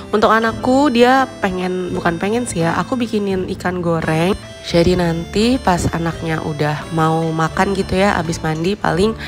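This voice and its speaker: A young woman with a stuffy nose and a hoarse voice from a cold, chatting casually in a home vlog voice-over. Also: lowish voice. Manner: chatty, friendly, with little laughs and fillers. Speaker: vlogger, homemaker. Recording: voice-over with clear background music.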